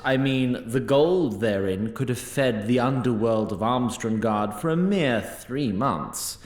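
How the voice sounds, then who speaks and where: A man narrating a fantasy audio drama in a droll, wry, bookish manner. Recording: roomy echo, faint rumbling noise.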